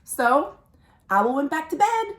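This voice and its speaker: High-voiced, expressive woman reading to children. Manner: animated, asks listeners questions, dramatic pauses. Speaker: children's storytime reader. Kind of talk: expressive picture-book read-aloud for young children. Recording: roomy, echoing indoor sound.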